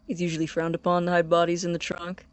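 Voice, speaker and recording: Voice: medium pitch, dry recording, close to an everyday voice. An actor playing an earnest, awkward young man in audio drama dialogue, speaking politely and hesitantly. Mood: amused.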